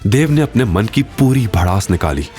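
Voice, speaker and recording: A man's voice: lowish voice, sing-song, normal speed, over music. A man giving dramatic scripted narration as the storyteller of an audio drama.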